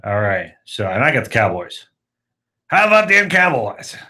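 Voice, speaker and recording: A man hosting a casual sports talk show, upbeat and quick to laugh, often repeating himself. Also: medium pitch, big pitch swings, pushed and bright. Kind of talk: casual sports radio chat.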